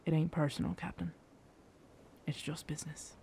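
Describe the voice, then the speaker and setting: An actor playing a gruff, guarded yet tender pirate captain in an intimate ASMR roleplay, speaking haltingly. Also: mid-range voice, flat delivery, mellow, a little hiss or hum, close to an everyday voice. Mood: matter-of-fact, grim. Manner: slow, hesitant, halting, rough pirate phrasing. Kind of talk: ASMR roleplay audio drama, intimate second-person scene.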